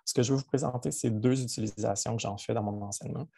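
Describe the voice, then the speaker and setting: A man's voice: medium pitch, fairly even intonation, fast talker, gravelly, warm tone, husky, no echo, clean studio sound. A man with a Québécois accent, a college physics teacher giving a webinar presentation over a video call, hesitant with many fillers. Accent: Québécois French. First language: French.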